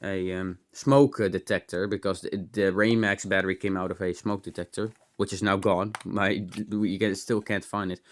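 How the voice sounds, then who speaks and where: A man's voice: deep voice, level tone, normal speed, hardly any pauses. A man giving a casual, unscripted hands-on gadget demo, rambling and thinking aloud with some mild swearing. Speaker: host of a tech teardown channel.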